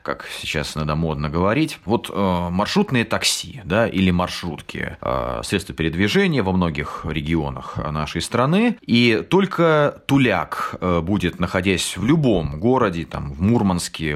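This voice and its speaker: A middle-aged man from the Tula region with a local Russian accent, a tour guide chatting and telling stories with frequent hesitations. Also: sits low. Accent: Tula Russian, akanye (non-stressed O said as A). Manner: hesitant with frequent "э" fillers, storytelling, acts out dialogues. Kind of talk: casual explanatory chat.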